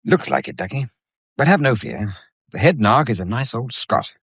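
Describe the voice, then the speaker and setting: An actor playing a debonair, flippant young gentleman with a wry, teasing and breezily bossy way of talking, in a period mystery drama. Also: medium pitch, big pitch swings, moderate pace, breathy edge, no echo, muffled recording, exaggerated voice.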